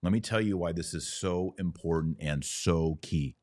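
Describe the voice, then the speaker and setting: A man with a low-pitched voice hosts a religious commentary podcast. He explains in an emphatic, teacherly way, posing questions and then answering them. The sound is dry, with very little room in it. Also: normal speed, darkish tone, dry recording. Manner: emphatic, didactic, asks and answers own questions. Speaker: podcast host.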